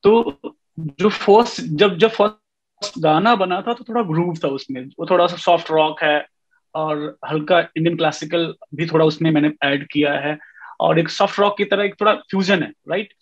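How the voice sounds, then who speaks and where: A man's voice: fairly high pitch, moderate pace. A man in his thirties, a music composer speaking as an interview guest over a video call, telling his story with lots of fillers and mixing in English. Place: indoor room at home. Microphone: webcam on a video call. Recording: dull lo-fi video call audio.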